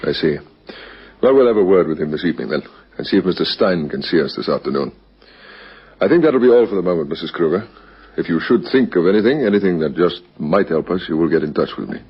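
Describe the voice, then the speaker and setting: Deep-voiced, brisk police captain. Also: male voice, expressive, slightly rough, heavily acted. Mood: firm, reassuring. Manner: businesslike, probing, measured politeness. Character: male police captain, probing, businesslike investigator. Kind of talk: radio crime drama acting. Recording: dull lo-fi vintage radio recording.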